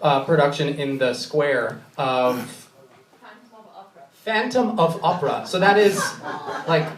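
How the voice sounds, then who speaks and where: A man doing playful, deadpan comic banter as a cabaret performer. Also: on the high side, slow. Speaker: musical theater cabaret performer. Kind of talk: comic stage banter with the audience. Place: indoor cabaret stage before an audience. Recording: echoey live theater, far from mic.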